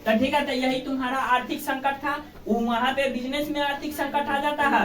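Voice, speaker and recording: A woman's voice: sits high, flat delivery, brisk, a bit bright. A woman with a Bihari accent teaching an informal school lesson, colloquial and exhorting, often asking rhetorical questions and checking that pupils follow. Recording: echoey room, distant microphone.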